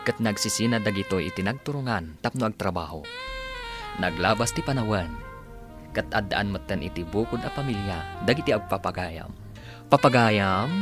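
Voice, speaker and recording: A man with an Ilocano accent giving dramatic scripted narration to introduce a radio drama serial. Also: fairly low pitch. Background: prominent music.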